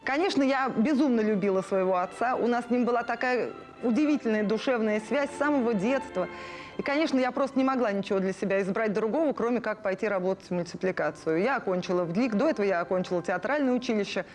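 A woman's voice: mid-range voice, fast, darkish tone, faint music behind. A woman in her thirties reminiscing in an interview in an effusive, emphatic way. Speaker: animation artist interviewee. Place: indoor studio room with puppets.

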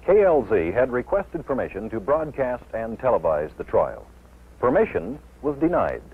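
A man's voice: mid-range voice, very animated, normal speed, breathy edge. A middle-aged American man delivering a scripted address to camera in a television studio. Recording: tinny old television film soundtrack.

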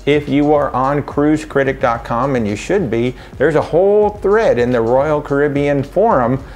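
Middle-aged man briskly listing items. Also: medium pitch, darkish tone. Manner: brisk listing with wry asides. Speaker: travel vlogger. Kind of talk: casual rundown to camera. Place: home office indoors.

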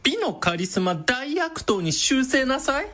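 A flamboyant, effeminate villain of a radio drama. The vain, self-styled beauty talks in sassy feminine phrases, with pitch that swoops dramatically. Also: male voice, very animated, measured pace, bright, forceful tone, exaggerated voice. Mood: indignant, sassy. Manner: campy, sassy feminine speech.

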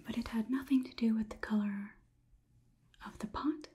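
A young woman performing an ASMR roleplay as a calm robot overlord, whispering in a measured, inquisitive, slightly condescending manner. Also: on the high side, natural voice. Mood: quietly probing. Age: young adult. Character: calm, clinical robot overlord examining a human. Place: small indoor room.

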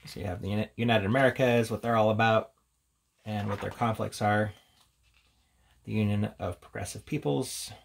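A man's voice: fairly low pitch, normal speed, vocal fry, long pauses, a little hiss or hum. A man giving an informal, offhand show-and-tell commentary as a reviewer, casually talking through what is in front of him. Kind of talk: casual hands-on book showcase commentary.

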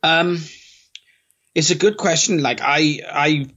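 A man's voice: on the high side, slow, a bit bright, highs cut off. A middle-aged man with a thick South Welsh accent, chatting casually as a podcast guest over a video call, self-deprecating and full of restarts. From South Wales. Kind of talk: casual interview chat. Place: small home office. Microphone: headset with studio mic on video call.

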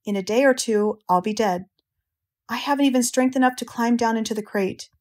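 A woman voicing a frail female spider from a storybook, composed, matter-of-fact and gently firm. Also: a little high, a little fast, close to an everyday voice. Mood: calm, weary. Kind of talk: storybook character reading.

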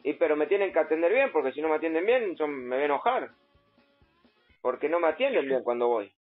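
Prank-call customer, played by a man: Argentine, insistent, halting and aggrieved, husky voice through a narrow phone line. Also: fairly high pitch, sing-song. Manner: repetitive, halting, complaining. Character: insistent, aggrieved, simple provincial male customer. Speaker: prank caller. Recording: muffled phone line, faint music behind.

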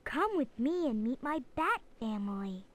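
A woman voicing a cheerful, excitable young female bat in an animated storybook game, eager and emphatic. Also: sits high, measured pace, faint music behind. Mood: excited.

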